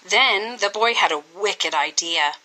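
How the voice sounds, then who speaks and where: A woman reading a children's story aloud in an expressive, scripted style with dramatic, deliberate phrasing. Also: medium pitch, low-quality recording. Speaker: storybook reader.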